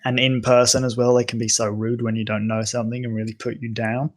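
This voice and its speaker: Hesitant young Englishman, flat voice. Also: medium pitch, fast talker. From England. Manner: hesitant, many ums and restarts. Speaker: guest. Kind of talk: casual conversation.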